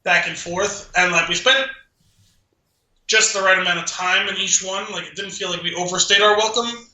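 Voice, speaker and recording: A man's voice: high voice, steady tone, moderate pace, bright, forceful tone. A man co-hosting a podcast, chatting casually about movies in conversational phrases. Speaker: podcast co-host. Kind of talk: casual movie review chat. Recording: echoey, roomy sound.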